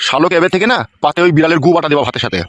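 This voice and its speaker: Man playing a fast-talking, blustering older father. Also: a little high, fairly bright tone, dry recording, lightly acted. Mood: scolding. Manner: crude, blustering. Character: gruff, threatening older father of the household. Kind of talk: Bengali comedy cartoon dub.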